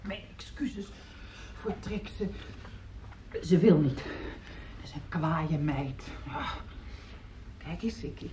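Low, slow, meek old mother. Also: lively intonation, dark tone. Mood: meek, apologetic. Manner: slow, halting, apologetic. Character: meek, kindly old mother, hard of hearing. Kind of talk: radio play acting. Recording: distant, noisy radio play recording.

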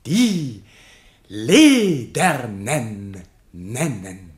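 Slow, pompous society chairman. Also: mid-range voice, huge pitch swings, heavily acted. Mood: pompous, declaiming. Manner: pompous, oratorical, deliberate. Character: pompous, long-winded male chairman of a philistine literary society. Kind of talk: German radio play acting, mock-solemn speech.

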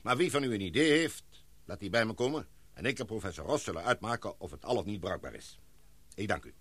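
A formal expedition leader in a radio play. He is measured, commanding and careful, in a dry, close sound. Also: fairly low pitch, lively intonation, fairly bright tone, husky, no room sound. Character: authoritative, cautious male expedition leader, addressed as sir. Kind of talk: Dutch radio drama acting.

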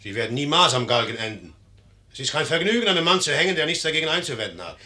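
An actor in a 1950s German radio comedy playing an imperious, cynical young general, curt, commanding and sardonic. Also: male voice, a little high, sing-song, quick pace, bright, forceful tone, full character voice. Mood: dry, irritated.

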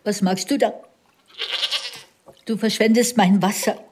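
Actress as slow, sighing, sorrowful village woman. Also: mid-range voice, fairly even intonation, noisy background, close to an everyday voice. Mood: weary, complaining. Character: depressed, weary, self-pitying village woman. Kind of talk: radio drama dialogue.

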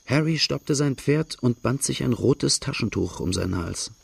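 A man's voice: mid-range voice, expressive, moderate pace, vocal fry, breathy edge, no echo, exaggerated voice. An actor narrating a radio drama in the first person as a plain-spoken outlaw looking back on his boyhood. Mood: reflective.

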